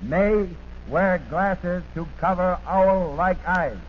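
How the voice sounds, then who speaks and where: A man reading a scripted 1940s radio police bulletin in deliberate, clipped items. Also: sits high. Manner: deliberate, clipped listing with repetition. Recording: tinny noisy 1940s radio recording.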